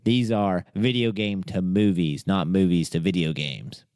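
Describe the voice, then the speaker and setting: A man's voice: low-pitched, steady tone, measured pace, a little mellow, no room sound, studio quality. A man hosting a casual podcast, bantering and teasing as he poses quiz questions to his co-host. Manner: teasing, quizzing.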